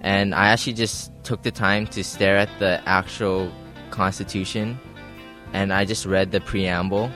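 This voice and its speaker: A man's voice: low-pitched, steady tone, unhurried, bright, forceful tone, soft background music. A teenage boy from Hawaii, speaking reflectively and earnestly about his experience. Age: teens. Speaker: camper.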